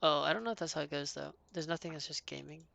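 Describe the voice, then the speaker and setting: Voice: medium pitch, fast talker, gravelly, sharp tone, lo-fi sound. A streamer chatting casually and thinking aloud while setting up a live stream.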